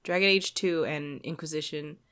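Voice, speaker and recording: A woman's voice: sits low, fairly even intonation, normal speed, crowd noise. A woman co-hosting a podcast, chatting casually about games in an informal, giggly way. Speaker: podcast co-host.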